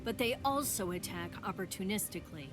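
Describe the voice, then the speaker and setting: A woman voicing a stern, composed female spirit warrior in an anime game dub, with formal, measured and commanding delivery. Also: mid-range voice, expressive, lightly acted. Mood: grave, measured. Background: background music.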